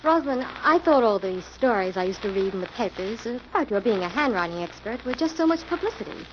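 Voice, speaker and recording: A woman's voice: fairly high pitch, lively intonation, quick pace, natural voice. A woman playing a curious, starry-eyed young detective's companion in a 1940s radio drama, eager and inquisitive. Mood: curious, admiring. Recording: muffled, very noisy old radio recording.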